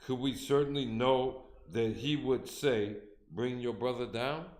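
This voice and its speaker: A man reading scripture aloud slowly and deliberately during a service. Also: mid-range voice. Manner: slow, loud, deliberate reading. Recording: echoey room, voice off-mic.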